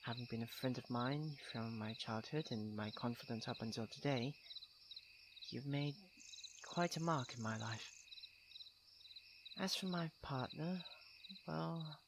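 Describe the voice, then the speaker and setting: Night crickets chirp clearly around a young prince played by a male voice actor. He is haughty but tender underneath, choosing formal, precise words in a mid-pitched voice. It plays as an intimate audio roleplay addressed to a close childhood friend. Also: measured pace, long pauses, noisy background, natural voice. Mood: vulnerable, hesitant. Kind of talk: ASMR roleplay acting, intimate monologue to the listener. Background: night crickets and insects.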